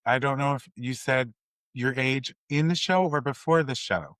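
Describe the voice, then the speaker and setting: Man, podcast host, earnest, encouraging, conversational, mid-pitched, dry sound. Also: moderate pace, fairly bright tone, no room sound. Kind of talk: conversational interview.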